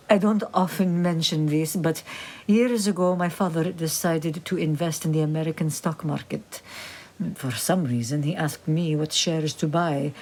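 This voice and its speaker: An actress playing a gracious, mature Egyptian woman professor in a radio drama, speaking with polite, measured, formal phrasing. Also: deep voice, mellow.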